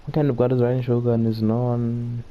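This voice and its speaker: A man's voice: medium pitch, little pitch movement, a little fast, dull audio, a little hiss or hum. A man hosting a gaming video, casually reading dialogue choices aloud. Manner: casual, stumbles and rereads lines.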